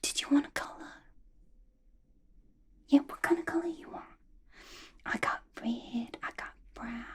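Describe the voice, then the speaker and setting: A woman voicing a scatterbrained, gossipy, clingy young female villain in a breathy, intimate ASMR whisper, chatty and playful with slangy grammar. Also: high voice, a little mellow. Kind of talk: ASMR roleplay, whispered to the listener.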